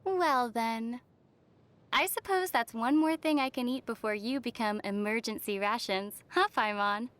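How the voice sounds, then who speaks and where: A woman voicing a young traveler heroine in a video game, gentle and wry with a soft, teasing manner. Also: a little high, a little slow.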